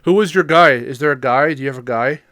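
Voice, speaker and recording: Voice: medium pitch, fast, fairly bright tone, no room sound, studio quality. A podcast co-host chatting casually about games in a rambling, joking way, with some swearing. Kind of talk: casual gaming podcast chat.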